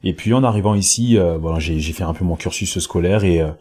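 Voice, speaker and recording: A man's voice: sits low, quick pace, warm tone, husky. A man from Congo, a pro basketball player, talking casually as a podcast guest, hesitant with many fillers and repeats, recorded on his own home podcast mic. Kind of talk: casual interview talk. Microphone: home podcast mic with headset.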